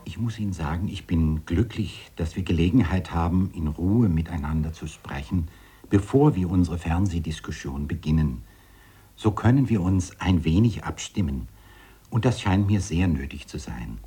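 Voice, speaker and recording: An actor playing a courteous, diplomatic science professor in a radio drama, speaking formally and with deliberate care. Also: male voice, deep voice, mellow, breathy edge. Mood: polite, careful. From Denmark. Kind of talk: 1970s radio drama acting.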